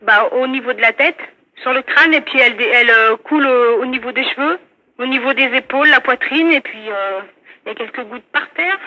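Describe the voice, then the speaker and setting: A woman's voice: high voice, a little fast, sharp tone, no room sound. A woman on a phone call, answering earnestly and compliantly in short, simple replies. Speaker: prank call target. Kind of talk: unscripted phone conversation. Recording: dry, dull telephone line.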